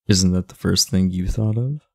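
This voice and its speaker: A man playing an aloof, irritable, sleepy teenage delinquent in an ASMR roleplay, blunt, sardonic and guarded, with a low, gravelly voice. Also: moderate pace, mellow, dry recording, studio quality. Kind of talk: ASMR roleplay, speaking to the listener.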